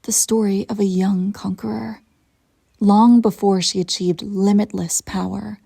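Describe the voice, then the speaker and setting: Woman acting a haughty, husky evil empress. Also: medium pitch, fairly even intonation, dark tone, no echo, clean studio sound. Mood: taunting, intimate. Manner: theatrical, haughty, deliberate phrasing. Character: imperious evil empress, coolly seductive and menacing. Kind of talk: scripted audio roleplay acting.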